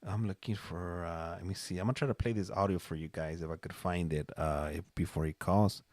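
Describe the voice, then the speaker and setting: A man's voice: deep voice, steady tone, brisk, a little mellow, no room sound. A man chatting informally and slangily as host of a live stream. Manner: slangy, addressing listeners and caller informally. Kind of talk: casual live-stream chat.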